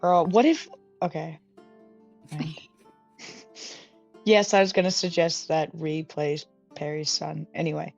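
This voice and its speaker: A woman's voice: low voice, fairly even intonation, measured pace, creaky, long pauses, a little mellow, dull audio, soft background music. A young woman chatting casually as a player in a tabletop roleplaying game. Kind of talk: casual tabletop game chat with in-character lines.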